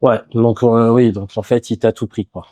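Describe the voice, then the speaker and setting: A man hosting a podcast interview, asking questions in a casual, relaxed way with many fillers. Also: mid-range voice, normal speed. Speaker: podcast host.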